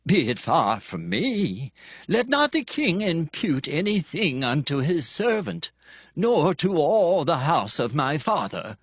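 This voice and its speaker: Loyal priest in bible audio drama, dry sound, highish voice, pleading real slow. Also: male voice, sing-song, slightly rough, no echo, exaggerated voice. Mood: humble pleading. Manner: deliberate, pleading, deferential. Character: loyal, humble priest pleading innocence to his king.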